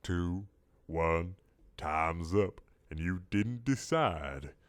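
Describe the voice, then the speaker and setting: A man voicing a huge male giant squid, smooth-talking and domineering, in a hushed, persuasive, unhurried way for a comic story. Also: deep voice, lively intonation, dry recording, pitched below the performer's own voice, exaggerated voice. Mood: commanding.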